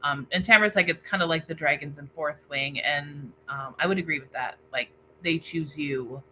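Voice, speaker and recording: A woman's voice: low-pitched, normal speed, bright, forceful tone, slight background noise, rough recording. A woman hosting a casual livestream book discussion over a video call, informally reading out viewer comments. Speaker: book club host. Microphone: internet video call.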